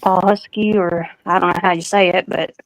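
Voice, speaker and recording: A woman's voice: fairly low pitch, steady tone, moderate pace, a crowd in the background, low-quality recording. A woman chatting casually as a guest on a live streaming panel. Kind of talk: casual chat.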